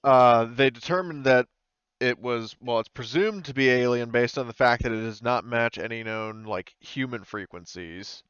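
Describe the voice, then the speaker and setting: A man's voice: medium pitch, moderate pace, a bit bright, smooth, dry recording. A man casually explaining a tabletop game as game master, in a conversational, hedging way over a muffled online voice call.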